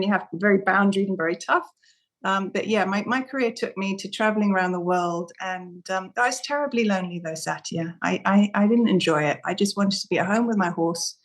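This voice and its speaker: Gentle, reflective woman in her fifties. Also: medium pitch. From Singapore and Indonesia. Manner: gentle, reflective, with frequent restarts. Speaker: podcast guest. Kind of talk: casual interview talk.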